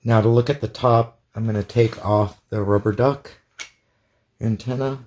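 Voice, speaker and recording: Low, mellow-voiced man demonstrating a product. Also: fairly even intonation, normal speed. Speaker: product reviewer. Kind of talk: casual hands-on product demonstration.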